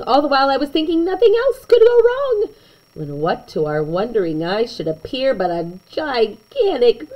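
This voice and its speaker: A woman reading a children's picture book aloud in an expressive way, with chatty asides to young listeners. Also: a little high, dull audio. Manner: animated, slow and deliberate storytelling, chatting to young listeners.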